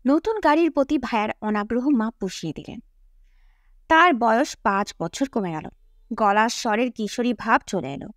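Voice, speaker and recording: A woman's voice: a little high, lively intonation, moderate pace, dry recording, clean studio sound. A woman reading a novel aloud as an audiobook narrator, in scripted first-person storytelling.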